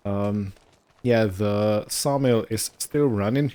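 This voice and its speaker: A man giving casual roleplay gameplay commentary in a hesitant, rambling, unhurried manner. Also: on the low side, mellow, smooth. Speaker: gaming video host.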